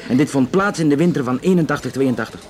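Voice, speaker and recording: A Flemish police investigator played by a man in a radio play, with a voice of average pitch. He explains things at length in a matter-of-fact, conversational way, hesitating now and then as he picks his words. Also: fast, darkish tone, lightly acted. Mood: matter-of-fact, confiding. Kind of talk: naturalistic radio drama dialogue.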